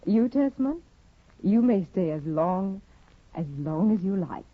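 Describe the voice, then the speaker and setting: An actress playing a proud, scheming young wife in a 1950s radio drama, with a husky, commanding, scornful delivery. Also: female voice, medium pitch, expressive, unhurried. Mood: cool. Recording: dull lo-fi 1950 radio recording.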